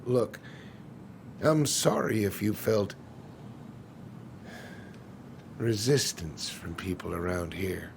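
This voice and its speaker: An actor playing an evasive, folksy middle-aged small-town man in a naturalistic video game drama, with a creaky voice. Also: fairly low pitch, expressive, takes it slowly, slight background noise, full character voice. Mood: conciliatory, solemn.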